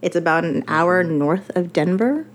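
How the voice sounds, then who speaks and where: A woman's voice: fairly low pitch, lively intonation, measured pace, slight background noise. A young adult woman from Colorado, a TV writer telling her story on a podcast in a chatty, self-deprecating way, with a very young-sounding voice. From Colorado, USA. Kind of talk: casual storytelling chat.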